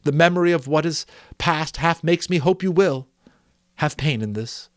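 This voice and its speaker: A man voicing a gentle, sorrowful young woman in a Victorian story, speaking with earnest, wounded deliberation. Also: a little high, normal speed, dry recording, clean studio sound, pitched above the performer's own voice, close to an everyday voice. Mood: resigned, wounded. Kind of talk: dramatic audiobook reading of a Victorian story.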